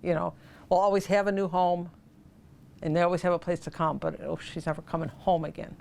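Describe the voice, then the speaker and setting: A woman's voice: fairly low pitch, little pitch movement, brisk, vocal fry, background noise. A woman talking unscripted in a news interview, as a local interviewee. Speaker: family member interviewed.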